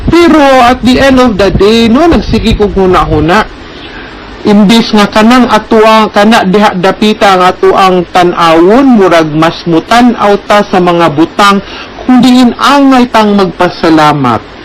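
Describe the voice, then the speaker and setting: A man's voice: sits high, animated, measured pace, slight background noise, low-quality recording. A man with a Cebuano Filipino accent hosting a radio advice programme, talking conversationally to listeners and often checking in with short tag questions.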